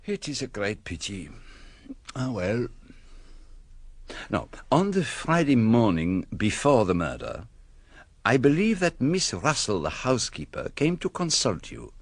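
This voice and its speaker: A man playing a courteous, shrewd foreign detective in a radio drama, formal and deliberate with quaint phrasing. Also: medium pitch, huge pitch swings, no echo, full character voice. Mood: thoughtful, probing.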